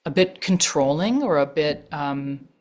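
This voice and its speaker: Middle-aged actress guest with a very low voice, reflective, measured, lots of pauses. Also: female voice, expressive, a little mellow. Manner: thoughtful, measured, with frequent pauses and fillers. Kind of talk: reflective interview answers.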